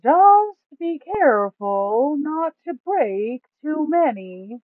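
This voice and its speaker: Woman doing greedy blunt fantasy adventurer, raised pitch, dragging the words out, tinny phone-ish audio. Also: sing-song, extremely slow, no room sound, heavily acted. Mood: scheming. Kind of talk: acted fantasy story reading. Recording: thin, phone-like sound.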